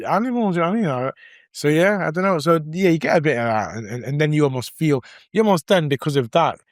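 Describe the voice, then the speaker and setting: Relaxed Mancunian man, dry podcast mic. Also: a little high, fast talker, dry recording. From Manchester. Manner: relaxed storyteller, lots of "yeah" backchannel. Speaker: podcast co-host. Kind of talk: casual podcast chat.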